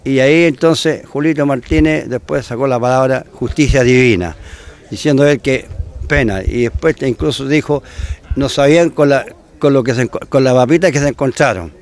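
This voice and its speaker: An older man with a Chilean accent, a former footballer recalling a match in halting, repeated phrases. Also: medium pitch. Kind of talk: archive interview recollection.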